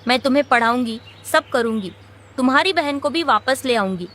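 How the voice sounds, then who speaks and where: Lady doing a motherly cartoon bird, high voice, caring but firm. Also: animated, fairly quick, a bit bright. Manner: motherly, protective. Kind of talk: animated children's story acting.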